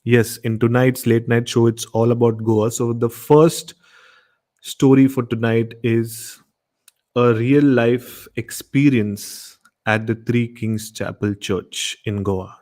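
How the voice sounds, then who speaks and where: A man's voice: mid-range voice, flat delivery, slow pace, a little mellow. A man from Goa with an Indian accent hosts a late-night horror show, speaking off the cuff with repetitive, emphatic phrasing. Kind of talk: casual hosting, unscripted introductions.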